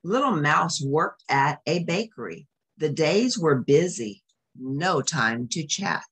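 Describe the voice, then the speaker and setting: A woman's voice: sits low, animated, slow, a bit bright, husky. A woman reading a picture book aloud as a storyteller, expressively, with explanatory asides to young listeners.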